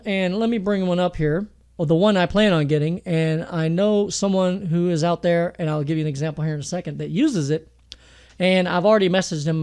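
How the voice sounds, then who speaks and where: Male producer, thin high voice, loose chatty tutorial delivery with lots of "uh". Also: a little mellow, clean tone. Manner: casual, rambling, with many fillers and restarts. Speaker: music producer teaching mixing. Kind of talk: screen-recorded tutorial talk. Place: home project studio.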